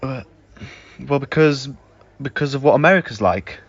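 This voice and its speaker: A man's voice: mid-range voice, normal speed, close to an everyday voice. Opinionated young man actor, muffled lo-fi sound. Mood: smug, insistent. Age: young adult. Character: opinionated man, smugly sure he is right. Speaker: student actor. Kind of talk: audio drama dialogue.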